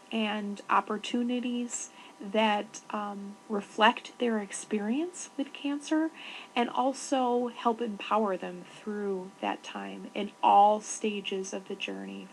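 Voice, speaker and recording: A woman's voice: fairly high pitch, vocal fry, slight background noise, poor audio. A woman program coordinator answering interview questions, explaining carefully and searching for words.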